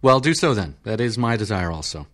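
A man reading an audiobook, voicing an irritable, restless male senator in his fifties who talks in sharp, demanding questions. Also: fairly low pitch, lively intonation, brisk, voice pushed up. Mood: peevish.

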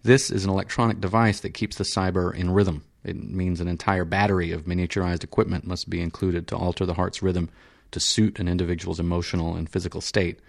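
An unflappable surgeon explains things in a flat, measured, formal way, infinitely patient, as a male narrator gives him voice. The voice sits on the low side for a man, with little show of feeling, in an audiobook reading. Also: vocal fry.

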